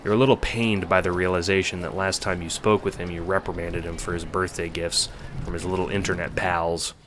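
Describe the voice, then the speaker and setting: A man's voice: low-pitched, flat delivery, fairly quick, background noise. A man narrating a scripted audio drama in the second person.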